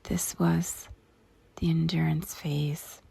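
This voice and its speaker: A woman leads a meditation in a very breathy, whispered voice full of heavy creak. She speaks slowly, in short deliberate phrases with long pauses between them. Her delivery stays hushed and measured. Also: low voice, flat delivery. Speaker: meditation guide. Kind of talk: guided meditation narration with spacious pauses.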